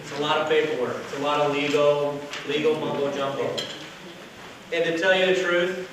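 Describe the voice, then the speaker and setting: A man giving a loud, casual workshop talk to an audience as a hotel sales director, with no microphone. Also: fairly high pitch, fairly even intonation, normal speed. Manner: casual, chatty, with fillers. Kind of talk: workshop presentation to a live audience. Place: meeting room with an audience. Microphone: distant camera, no microphone. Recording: very echoey room from far off with noise.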